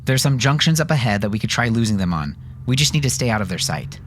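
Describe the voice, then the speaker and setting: A man's voice: medium pitch, very animated, fast talker, a bit bright, a little hiss or hum, pitched above the performer's own voice. A man voicing a tough, terse woman on the run, pressing and commanding, in an audio story. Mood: decisive. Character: Miranda, tough urgent armed woman on the run.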